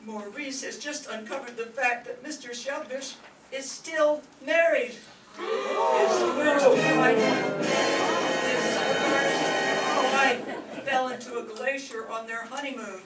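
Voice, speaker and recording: A woman's voice: high voice, takes it slowly, over loud music. A woman playing a haughty, commanding elderly matriarch in a melodramatic radio soap opera parody. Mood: dramatic revelation. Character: imperious elderly matriarch of a wealthy family. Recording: echoey stage, far mic, clear noise.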